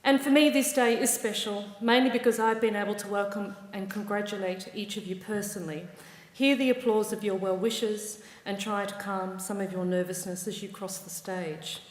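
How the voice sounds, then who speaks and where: Australian woman, ceremony speech, measured and warm, big echoey hall. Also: mid-range voice. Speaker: university ceremony presider. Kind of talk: formal ceremony address.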